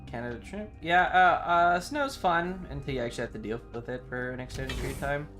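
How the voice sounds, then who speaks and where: Male game streamer, mid-pitched, casual slangy banter with swearing, music underneath. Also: lively intonation, a little fast, fairly bright tone. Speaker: livestreamer.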